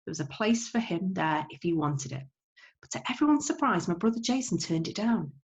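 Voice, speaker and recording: Low-pitched woman reading a novel aloud. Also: animated, brisk. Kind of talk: expressive audiobook narration of a children's novel.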